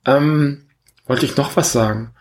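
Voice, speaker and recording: A man's voice: medium pitch, a little slow, darkish tone. A man co-hosting a film podcast, talking casually and searching for words as he reasons aloud.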